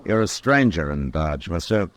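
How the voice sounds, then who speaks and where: Deep stern Western marshal. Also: male voice, big pitch swings, normal speed. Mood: stern, curt. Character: stern, commanding US marshal, terse and weary. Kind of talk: 1950s Western radio drama acting. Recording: old radio recording, faint noise.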